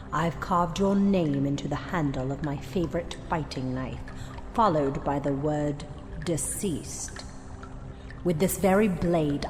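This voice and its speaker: An actress playing a cold, fierce female Victorian detective in an audio drama, making deliberate, theatrical threats. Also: sits low. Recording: long tunnel-like reverb with music underneath. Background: dramatic music.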